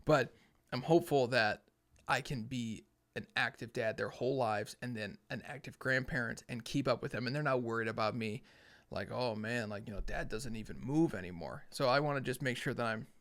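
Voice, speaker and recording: A man's voice: mid-range voice, moderate pace, fairly bright tone. A young man hosting a podcast, talking alone in a casual, earnest, plainspoken way, thinking aloud. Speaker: podcast host. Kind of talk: casual solo talk.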